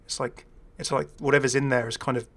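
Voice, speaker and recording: A man's voice: mid-range voice, fairly even intonation, quick pace, fairly bright tone. Chatty man casually commentating on a game. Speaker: gaming video host.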